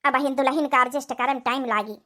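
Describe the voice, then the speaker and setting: A woman's voice: high voice, steady tone, fast talker, pushed and bright. A woman voicing a fretful, peacemaking village mother-in-law in a comedy cartoon, coaxing and rustic, with an Awadhi accent.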